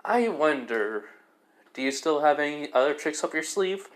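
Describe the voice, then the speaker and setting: A man voicing a curious, teasing young woman from an anime story in a measured way. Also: fairly high pitch, lively intonation, lightly acted.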